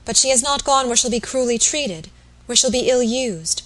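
A woman's voice: fairly high pitch, expressive, normal speed, fairly bright tone, pitched above the performer's own voice. A woman voicing an anxious, deferential young wife in an audiobook, speaking eagerly and earnestly. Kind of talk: audiobook character voice.

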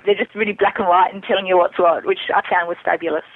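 A woman's voice: on the low side, steady tone, fast, no room sound. A woman chatting candidly as a guest in a casual interview, answering questions about herself. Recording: thin narrowband phone or internet call.

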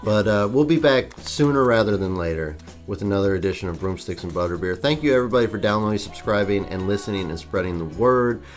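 A man's voice: fairly low pitch, expressive, quick pace, hardly any pauses, soft background music. A man co-hosting a podcast in casual chat, joking and rambling with lots of fillers, a bit stuffed up from allergies. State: congested from allergies. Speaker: podcast co-host.

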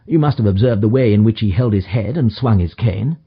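A man's voice: lowish voice, a little fast, slightly rough, lo-fi sound. A man voicing a self-assured, superior detective in an audiobook, with emphatic, clipped pronouncements and dry condescension. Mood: smug, explanatory. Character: brisk, self-assured detective, languid yet energetic and superior. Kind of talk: audiobook character dialogue.

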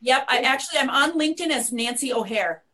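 A woman's voice: high-pitched, lively intonation, quick pace, pushed and bright. A Midwestern American woman speaking as a guest on a webinar, chatty and upbeat, with many restarts. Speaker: guest, media company owner. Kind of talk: webinar conversation.

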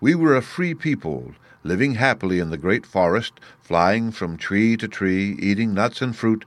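A man's voice: on the low side, animated, moderate pace, dry recording, lightly acted. A man voicing a dignified, obliging king of winged monkeys in a storybook reading. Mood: courteous, storytelling.

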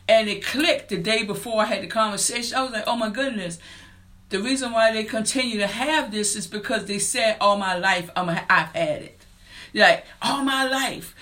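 A woman in her fifties talks to her listeners in a faith-based motivational style. Her voice is bright and clear, and her delivery is earnest and preacher-like, climbing toward excited emphasis. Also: medium pitch. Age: 50s. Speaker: podcast and radio show host.

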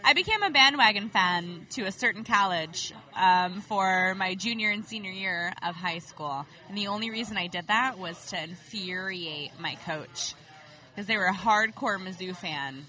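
Woman, bright voice, animated, laughs easily, casual podcast guest. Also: mid-range voice, normal speed. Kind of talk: casual chat.

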